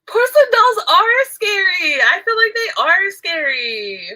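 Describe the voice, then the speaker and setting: A woman's voice: very high-pitched, sing-song, unhurried, sharp tone. A woman chatting casually while she crochets, rambling and giggling, her nose stuffy and nasal from allergies. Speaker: crochet vlogger.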